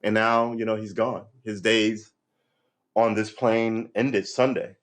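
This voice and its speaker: Low-voiced man in his fifties, musing. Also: takes it slowly. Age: 50s. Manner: rambling, restarts, frequent "you know" and "right?"; lively pitch swings when animated. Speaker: vlogger. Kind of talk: reflective monologue. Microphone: livestream setup.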